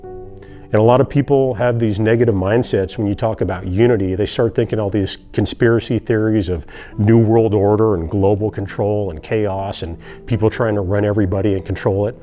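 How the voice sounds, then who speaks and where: A man speaks in an earnest, impassioned monologue, stacking his points through repeated phrases and slowing down to lean on key words. His voice is mellow and warm, and soft music plays beneath him. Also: on the low side, fairly even intonation. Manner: impassioned, repetitive, slow emphatic pauses. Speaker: online video essayist.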